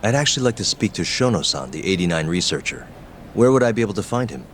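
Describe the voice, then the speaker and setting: A man's voice: fairly low pitch, sing-song, quick pace, a bit bright, slight background noise. A man acting in an English game dub as a laid-back, wry, confident detective who talks casually and unhurriedly. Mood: casual, polite.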